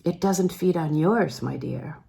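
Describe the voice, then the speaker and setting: Woman reading as a mellow, teasing gentleman. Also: fairly low pitch, unhurried, creaky. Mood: playful teasing. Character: teasing, affectionate older man, a singer, in a novel.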